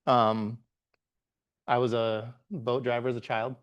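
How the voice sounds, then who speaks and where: A man in his forties, from the Bahamas, giving a live tech meetup talk as a guest presenter, relaxed and halting with frequent "uh"s and restarts. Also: medium pitch, clean tone, highs cut off. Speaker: guest presenter, software engineer.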